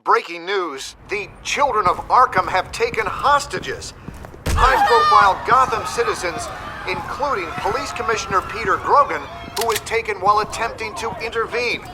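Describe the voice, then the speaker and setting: A male news reporter played by an actor delivers a game's breaking bulletin in a high voice. He is formal and urgent over clear background noise. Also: moderate pace, natural voice.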